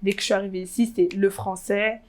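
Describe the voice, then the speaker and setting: Young woman in her twenties, from Congo, interview guest, hesitant, lots of fillers, mid-pitched voice. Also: flat delivery, fast talker. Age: 20s. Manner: hesitant, many fillers and restarts. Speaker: interviewee, fashion blogger. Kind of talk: casual interview chat.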